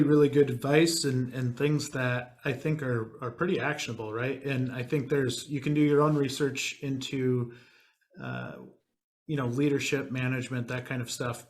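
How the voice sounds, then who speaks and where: Laid-back host with a Wisconsin accent. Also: male voice, mid-range voice. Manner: halting, many uh fillers, laid-back. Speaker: podcast host. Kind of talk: casual podcast chat.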